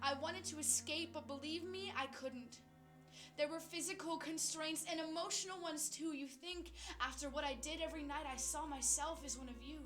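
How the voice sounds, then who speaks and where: A woman performing a slam poem in an earnest, dramatic way, with rhythmic rhymed phrasing that moves between emphatic and hushed. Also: high-pitched, moderate pace, soft background music. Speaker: spoken word poet.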